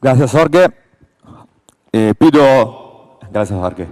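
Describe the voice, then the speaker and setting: A man's voice: mid-range voice, lively intonation, very slow, darkish tone. An Italian man with an Italian accent, a non-native speaker reading a prepared speech at a forum carefully and with slight halts. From Italy. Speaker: member of European Parliament, panel speaker. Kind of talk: prepared speech read aloud. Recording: long reverb of a conference hall.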